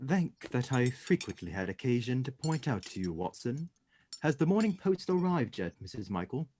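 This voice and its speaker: A man playing a composed, courteous English gentleman detective in a radio play, speaking in a measured, formal way. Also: mid-range voice, big pitch swings. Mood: composed, dry.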